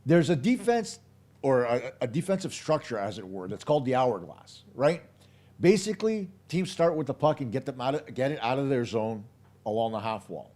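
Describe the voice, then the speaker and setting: A man with a hoarse, slightly worn voice breaks down a hockey game for his live audience. He is blunt and opinionated, pressing his points hard and speaking right to his viewers. His voice sits in the middle range for a man. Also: medium pitch, very animated, normal speed, dark tone. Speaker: hockey podcast host.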